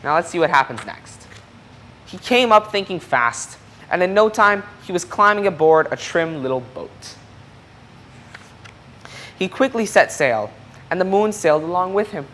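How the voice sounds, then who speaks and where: A reader with a mid-range voice presents a children's picture book. The delivery is expressive and explanatory, turning to young listeners with questions and asides. Some noise sits behind the voice. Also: medium pitch, measured pace, pauses often. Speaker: storybook reader.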